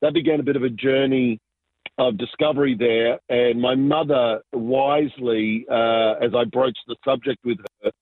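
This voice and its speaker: A man's voice: medium pitch, steady tone, no echo. Man in his forties, guest telling his life story, hesitant and reflective, dry close sound squeezed down like a phone line. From Argentina, raised in Sydney. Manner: reflective, halting, many fillers and restarts. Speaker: guest, business pastor. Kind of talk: casual interview storytelling.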